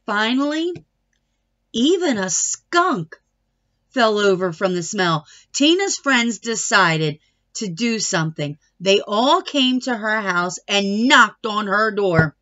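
A woman's voice: a little high, big pitch swings, takes it slowly, a bit bright, lo-fi sound. A middle-aged woman reading a children's picture book aloud expressively, stretching words for emphasis, on a home webcam. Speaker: read-aloud storyteller. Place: small wood-panelled room at home.

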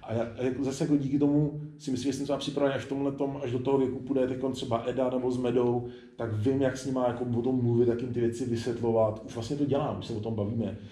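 A man's voice: mid-range voice, flat delivery, dark tone. A man in his forties talking casually and candidly as an interview guest, rambling informally. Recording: echoey room.

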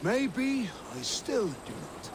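Reflective Viking warrior, high-pitched for a male, very animated, earnest, deliberate, video game storytelling, water sounds behind. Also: full character voice. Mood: reflective, wondering. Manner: musing, deliberate. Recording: water and boat noise behind. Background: lapping water, boat ambience.

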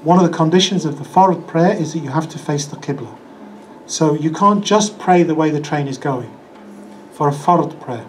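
A man's voice: on the high side, moderate pace, a little hiss or hum. An older man teaching a religious class in a small hall, chatty and acting out examples, speaking into a handheld mic. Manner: conversational, acts out excuses in quoted voices. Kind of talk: lecture with audience questions. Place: small hall with projector screen. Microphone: handheld microphone.

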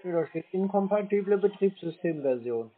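A man's voice: sits high, animated, moderate pace. A man chatting casually on a call, laconic, playing along with naive questions. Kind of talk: casual phone chat. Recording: tinny narrow phone line.

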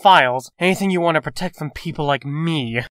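Male actor as cocky male schemer, higher-pitched, bright forceful tone, brash slang, impatient, comic dub. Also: fairly quick, no echo, lightly acted.

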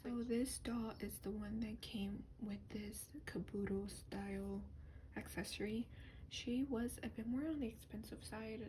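Woman, fairly high voice, soft-spoken ASMR, often whispering, gentle and hesitant, noisy home recording. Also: fairly even intonation, measured pace. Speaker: ASMR doll reviewer. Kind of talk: soft-spoken ASMR, rambling show and tell.

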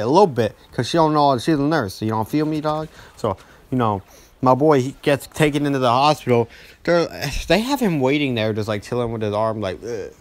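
Young man rambling in heavy slang. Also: mid-range voice. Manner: rambling, heavy slang, animated retelling with mimicked dialogue. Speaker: gaming video storyteller. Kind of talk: casual storytelling over gameplay, slangy.

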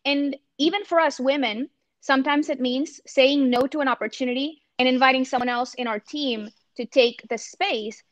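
Indian-accented woman, high voice, earnest and reflective, dry muffled video call sound. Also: dry recording. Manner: earnest, thoughtful, frequent restarts. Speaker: campus ministry missionary. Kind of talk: reflective panel talk. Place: home room. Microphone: laptop webcam on a video call.

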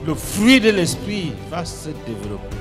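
A man's voice: on the high side, very animated, normal speed. A middle-aged man preaching on stage into a handheld microphone, in short phrases left for an interpreter. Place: large convention hall, on stage. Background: music.

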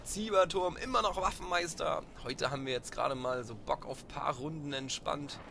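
A man with a cold and a hoarse voice, hosting a casual gaming let's play and reacting excitably to misses. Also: a little high, normal speed, background noise. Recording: loud gunfire behind. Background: game gunfire.

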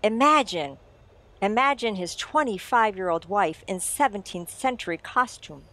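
A woman playing a suave mystery writer in a radio drama, telling the tale in a deliberate, teasing, theatrical manner. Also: medium pitch, expressive, bright, forceful tone. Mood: dramatic storytelling. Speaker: audio drama actor. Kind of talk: old-time radio mystery narration.

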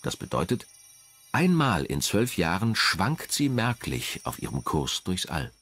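Low-voiced male documentary narrator. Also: very animated, unhurried, fairly bright tone, breathy edge. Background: background music.

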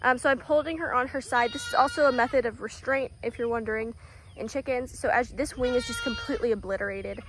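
A young woman with a Southern US accent, talking casually through a how-to farm vlog, full of "um"s and soft, soothing words to her animals. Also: a little high, quick pace, a little hiss or hum. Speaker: vlogger caring for farm animals. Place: outdoors on a farm by animal pens. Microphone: phone camera held close, selfie framing.

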